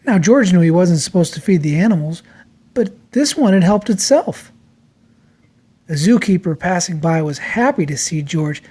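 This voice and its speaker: Children's storybook narrator, medium pitch, expressive delivery, dramatic read-aloud style. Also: moderate pace, breathy edge. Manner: expressive, acts out character lines.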